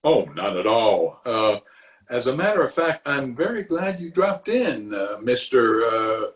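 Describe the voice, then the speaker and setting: A man's voice: medium pitch, very animated. A man playing a blustery, genial middle-aged small-town uncle in a pompous, theatrical 1940s radio comedy style. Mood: genial, flustered. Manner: pompous, theatrical, with drawn-out hesitations and chuckles. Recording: music behind the voice, remote home recording.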